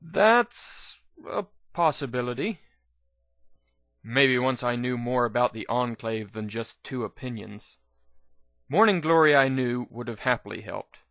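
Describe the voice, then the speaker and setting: A man's voice: medium pitch, normal speed, gravelly, no room sound, dull audio. A man reading a first-person story aloud as its narrator, in a dramatic reading style. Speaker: narrator, reader.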